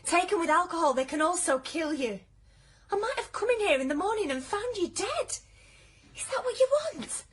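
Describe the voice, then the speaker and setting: Very high-voiced, worried friend. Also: female voice, animated, normal speed. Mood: alarmed. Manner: urgent, concerned, insistent. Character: earnest, worried female friend, firm and caring. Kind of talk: radio drama acting.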